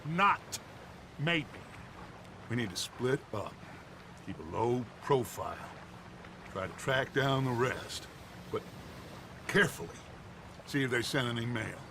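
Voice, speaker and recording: An actor playing a middle-aged American outlaw gang leader in a video game, grandiloquent and authoritative. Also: male voice, mid-range voice, expressive, a little slow, long pauses. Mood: urgent, persuasive. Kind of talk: video game drama acting. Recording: game audio with loud ambient noise.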